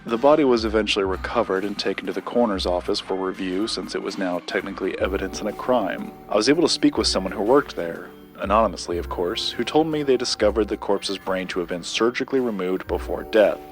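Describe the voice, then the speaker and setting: A man acting in an audio drama as a secretive collector, recounting a dark legend in a measured, deliberate way with dramatic pauses. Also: fairly low pitch, faint music behind, close to an everyday voice. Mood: measured, confiding.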